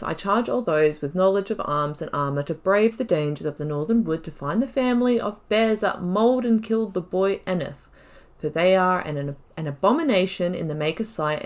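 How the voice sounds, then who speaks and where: Chatty woman commentating on a game. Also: lowish voice. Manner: chatty, self-deprecating. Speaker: video game streamer. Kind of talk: casual gameplay commentary.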